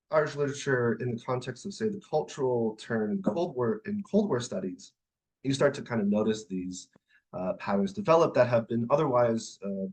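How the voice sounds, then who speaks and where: A man's voice: medium pitch, rough recording. A man giving an academic presentation in a discursive, hesitant, thinking-aloud way. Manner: hesitant, discursive, hedging phrasing. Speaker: academic speaker, literary scholar. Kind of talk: conference presentation, semi-improvised talk.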